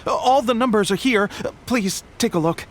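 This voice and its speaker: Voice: mid-range voice, moderate pace, slight background noise, close to an everyday voice. A performer voicing a nervous, deferential publishing employee in a video game, speaking hesitantly while reporting to a superior.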